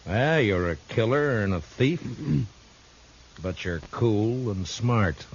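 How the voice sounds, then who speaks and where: A steely American frontier marshal for a radio Western. His voice is deep, his delivery dry, terse and unflappable. It comes through a dull 1950s recording with a faint hiss. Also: lively intonation, slow. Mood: stern, probing. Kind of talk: 1950s radio drama acting.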